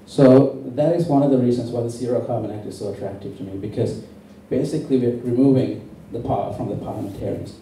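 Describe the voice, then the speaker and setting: A man from Sri Lanka with a very dark, muted voice delivers an intense political speech. He is emphatic and deliberate. He is heard from a distance in a heavily echoing room. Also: medium pitch. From born in Sri Lanka. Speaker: campaign speaker at a public meeting. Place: indoor public meeting venue. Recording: very echoey, distant, faintly noisy hall recording.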